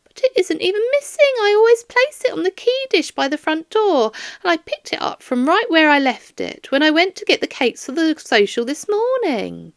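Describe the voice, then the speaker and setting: A woman's voice: extremely high pitch, sing-song, moderate pace, hardly any pauses, no echo, clean studio sound, pitched above the performer's own voice, heavily acted. A woman voicing a flustered, doting older woman who works at a museum, in a rambling, plaintive and baffled manner, for an audiobook. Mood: baffled, protesting. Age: 60s.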